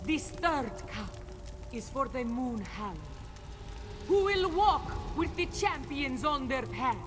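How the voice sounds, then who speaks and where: Slow, solemn cat-folk priestess. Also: female voice, sits high, sing-song, noisy background, heavily acted. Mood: ceremonial proclaiming. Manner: solemn, measured, ceremonial. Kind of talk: fantasy video game character dialogue.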